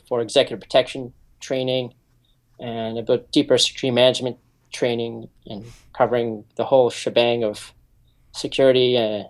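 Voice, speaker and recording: A man's voice: mid-range voice, a little mellow. A man in his forties, a security consultant, earnestly explaining his background in a radio interview with frequent ums. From United States. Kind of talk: interview answers.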